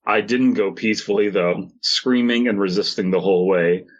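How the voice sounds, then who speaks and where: A man's voice: fairly low pitch, moderate pace, dull audio. A man hosting a podcast, reading stories aloud and bantering casually with joking, sweary asides. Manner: sweary, jokey. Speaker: podcast host.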